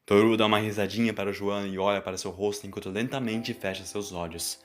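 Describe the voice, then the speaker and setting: Low-voiced Brazilian man narrating over music. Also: steady tone, fast. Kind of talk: dramatic scripted story narration.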